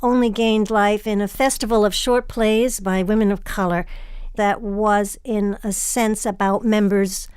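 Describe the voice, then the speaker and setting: A woman hosting a public radio show in a polished, measured, articulate way, presenting and interviewing. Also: medium pitch, steady tone, darkish tone, clear, resonant tone, no room sound, studio quality.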